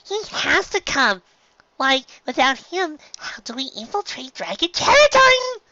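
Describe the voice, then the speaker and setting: A man puts on a hyper female pony from a cartoon-style story. She is silly and sure of herself, high and bright, stretching phrases dramatically. The pitch leaps about. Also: huge pitch swings, dry recording, dull audio, heavily acted. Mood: incredulous. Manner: dramatic, drawn-out, exclaiming.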